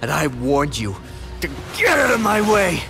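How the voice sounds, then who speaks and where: A man's voice: a little high, huge pitch swings, a little slow, over music, full character voice. A man playing a bitter, arrogant villain who makes menacing threats in a dramatic game performance. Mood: contemptuous, angry. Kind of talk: dramatic video game acting.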